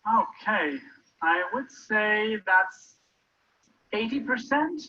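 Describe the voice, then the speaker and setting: A man's voice: sits high, lively intonation, extremely slow, sharp tone. A man co-presenting a workshop, explaining the audience's quiz results. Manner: explanatory, giving verdicts to the audience. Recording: muffled lo-fi recording.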